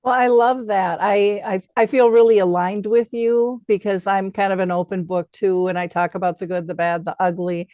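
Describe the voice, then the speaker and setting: Woman host chatting in an interview, warm dark tone, very dry room. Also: medium pitch, fairly even intonation, normal speed, hardly any pauses, dry recording, dull audio. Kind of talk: conversational interview.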